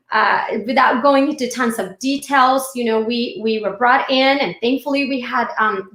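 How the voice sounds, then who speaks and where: A woman's voice: fairly high pitch, fairly bright tone, reverberant. A woman from India who moved to the United States, giving an informal motivational talk in a chatty way, speaking directly to livestream viewers. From born and raised in India, moved to the United States at fifteen. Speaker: speaker hosting a live online session.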